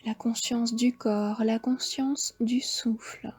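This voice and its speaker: A woman with a French accent guiding a yoga nidra relaxation, giving slow, soft, half-whispered breathing cues. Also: fairly high pitch, steady tone, slightly rough. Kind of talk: guided relaxation instruction.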